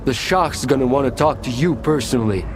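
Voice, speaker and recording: A man playing a crude, confrontational gang doorman in a video game, gruffly guarding his bar. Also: mid-range voice, brisk, background noise, close to an everyday voice. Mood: grudging.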